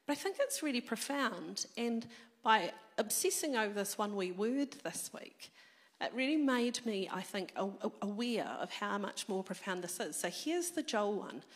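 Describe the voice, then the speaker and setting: A woman preaching a sermon, her voice a little croaky from a cold, drawing the congregation in with questions. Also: mid-range voice, moderate pace, big-room sound. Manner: animated, engaging the congregation.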